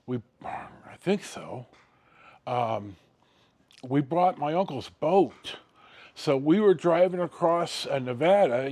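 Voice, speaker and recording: Older American male storyteller, slightly high-pitched and very animated, casual, halting, wandering delivery. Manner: animated, halting and digressive, acts out dialogue. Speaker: vlogger telling personal stories.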